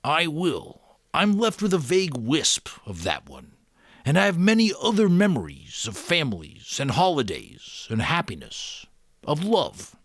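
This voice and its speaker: A man voicing a gentle, wise old keeper of memories in a storybook, patient and reassuring. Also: on the high side, huge pitch swings, a little slow, dry recording, clean studio sound, pitched above the performer's own voice, exaggerated voice. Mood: wistful.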